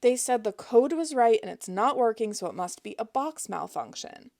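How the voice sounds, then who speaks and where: A woman voicing a bold quick-witted thief. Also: animated, creaky, darkish tone. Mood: fake panic. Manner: bold, fakes high-pitched panic.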